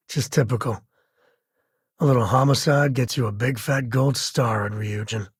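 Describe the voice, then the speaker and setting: A man voicing a rugged, folksy spacefaring cowboy and protective father in a video game, with an American accent, husky rasp and wry, informal manner. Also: mid-range voice, measured pace, no echo, clean studio sound. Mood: disappointed, bitter. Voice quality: husky, rasping. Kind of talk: video game character dialogue.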